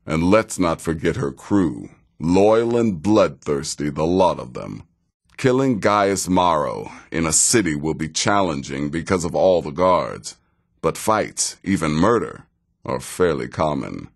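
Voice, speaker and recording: A sardonic veteran assassin from a fantasy game, with a very low voice. He speaks with dry, deliberate sarcasm, and his pitch swings expressively as he needles his listener. He sounds world-weary and hard to impress. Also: very animated, vocal fry, heavily acted. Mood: businesslike, wry. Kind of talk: scripted fantasy video game dialogue.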